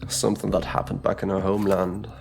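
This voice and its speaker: A man's voice: low-pitched, completely flat delivery, quick pace, vocal fry, over music. A man playing a wry, brooding supernatural detective in a scripted audio drama, with a theatrical delivery. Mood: grave, ominous.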